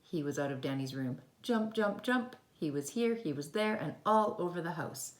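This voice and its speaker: A woman's voice: low-pitched, animated, brisk. A woman teacher talking and reading a story to young children in simple, child-directed language, recorded indoors at home. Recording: roomy home recording with faint noise.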